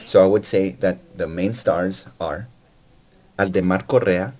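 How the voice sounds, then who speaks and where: A man with a Colombian accent joins a podcast as a guest. His voice sits somewhat low, and he tells long, meandering stories laced with crude jokes. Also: a little slow, darkish tone. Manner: meandering storyteller, crude humor. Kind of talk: casual podcast chat.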